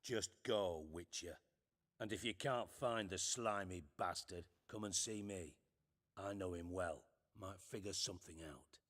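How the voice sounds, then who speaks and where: A man's voice: low voice, a little slow, a bit bright. An actor playing a scheming, sardonic male spymaster in a game cutscene, delivering dry, menacing lines.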